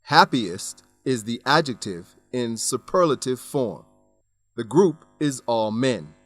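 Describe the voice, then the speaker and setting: A man reads scripted educational narration at a very measured, slow pace. His pitch moves expressively as he explains things in a didactic, deliberate way. The sound is close and dry. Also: animated, fairly bright tone, no room sound, soft background music. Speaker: narrator and English teacher.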